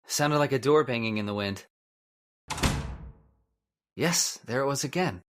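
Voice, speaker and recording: A man's voice: medium pitch, expressive, measured pace, background noise, natural voice. A man playing a placating, controlling husband in old-time radio horror, soothing but firm and theatrical.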